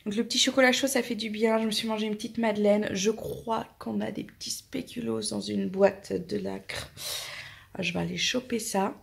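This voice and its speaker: Chatty French woman with a gravelly edge. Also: medium pitch, steady tone, normal speed. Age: 20s. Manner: chatty, rambling, talks softly to her cats. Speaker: family vlogger. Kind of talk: casual chat to camera.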